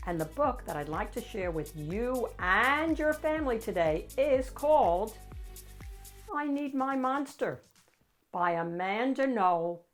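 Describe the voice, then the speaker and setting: A woman host reads a children's story aloud with very lively pitch movement. Her pace is slow and deliberate, and the delivery is dramatic and expressive. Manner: animated, dramatic. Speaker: picture book reader and host.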